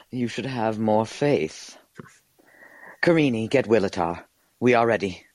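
A man playing a large, good-natured alien comrade in an audio drama, steady and teasing. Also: on the low side, slow, no room sound, rough recording. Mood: wry.

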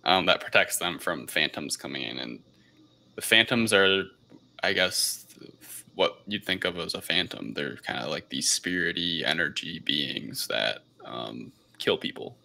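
Male podcast host, very low and creaky, loose hesitant film chat. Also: animated, measured pace, bright, forceful tone, rough recording. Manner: hesitant, unscripted, rambling delivery. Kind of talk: casual podcast film review.

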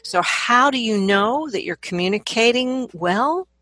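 A middle-aged woman, a vocal coach, explaining things conversationally as an interview guest, in an animated manner. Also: on the high side, unhurried, no room sound.

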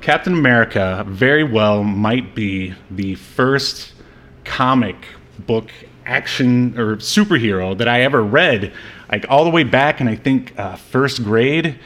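A man's voice: medium pitch, unhurried, fairly bright tone. A man hosting a podcast in casual chat, rambling and joking with frequent hesitations. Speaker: podcast host. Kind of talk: casual chat about an old superhero movie.

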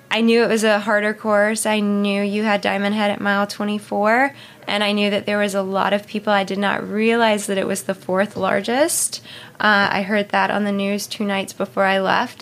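A woman in her thirties as an interview guest, talking casually and haltingly with many fillers and restarts. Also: mid-range voice, little pitch movement, gravelly, a bit bright. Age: 30s. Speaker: interview guest, marathon runner.

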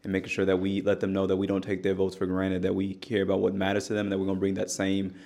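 Earnest young man with a flat, deep voice. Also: quick pace. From California Bay Area. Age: young adult. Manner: earnest and steady, in long flowing sentences. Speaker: political candidate and organizer. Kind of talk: interview guest.

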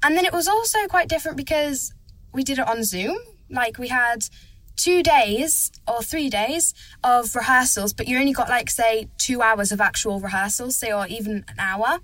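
A woman's voice: a little high, moderate pace, a bit bright, dry recording, rough recording. A teenage girl, a young actor, chatting eagerly as a guest in a casual interview, with lots of "like". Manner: chatty, eager, says "like" a lot.

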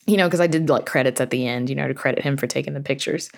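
A woman's voice: very deep voice, rapid-fire, gravelly. A woman chatting informally as an interview guest, telling anecdotes in a conversational way with quoted asides. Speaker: podcast guest, horror fiction writer and audio drama creator.

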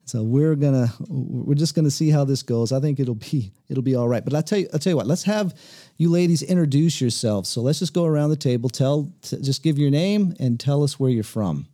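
Relaxed man with a dark voice. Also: mid-range voice, brisk, no room sound, studio quality. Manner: relaxed, rambling, repeats words. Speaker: host. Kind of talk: casual interview. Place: living room of a log cabin. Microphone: table mic.

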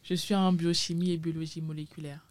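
A woman's voice: low voice, flat delivery, brisk, darkish tone. A young woman chatting casually as a student guest. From Burkina Faso. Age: young adult. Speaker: student association officer, guest. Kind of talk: casual chat.

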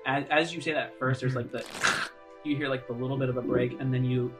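A man improvising narration as game master of a tabletop roleplaying game, piecing his descriptions together haltingly. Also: medium pitch, fairly even intonation. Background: music.

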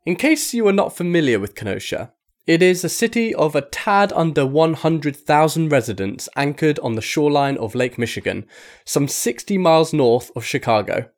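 A man's voice: fairly high pitch, animated, moderate pace. A man reading a magazine article aloud as scripted narration. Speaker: article reader.

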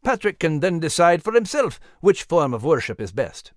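Man acting a genial, animated colonial husband. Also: a little high, normal speed, no room sound, clean studio sound, voice pushed up. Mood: conciliatory. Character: genial, even-handed husband and father, a major.

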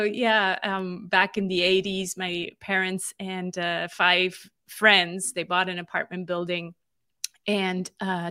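A woman's voice: on the low side, sharp tone, dry recording, clean studio sound. A woman in her forties from Switzerland, a marketing consultant telling her story as an interview guest, thoughtful and full of small restarts. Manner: reflective, many fillers and restarts. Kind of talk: conversational interview.

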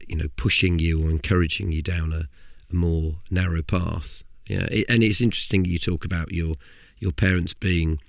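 A man's voice: sits low, steady tone, gravelly, no echo, clean studio sound. A man hosting a podcast, talking conversationally with frequent restarts. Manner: conversational, halting with repeated words. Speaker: podcast host. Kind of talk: casual interview chat.

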